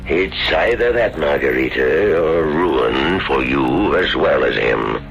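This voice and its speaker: A very deep, gravelly, scheming moneylender. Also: male voice, expressive, fairly bright tone, heavily acted. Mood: sly, insinuating. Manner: suave, deliberate insinuation. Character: scheming, predatory male moneylender. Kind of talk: 1970s radio drama acting. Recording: muffled vintage radio recording.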